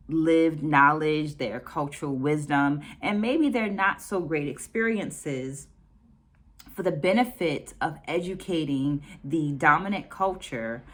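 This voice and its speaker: Woman, American accent, middle-aged, low voice, measured educator's delivery, reflective book-review vlog. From United States. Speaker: dance educator hosting a book discussion.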